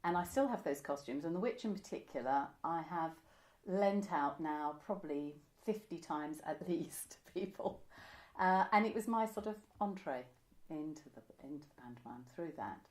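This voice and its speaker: A woman's voice: sits low, expressive, moderate pace, darkish tone. A woman, reminiscing conversationally as an interviewee. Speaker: interviewee, pantomime costume maker. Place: indoors, room by a window.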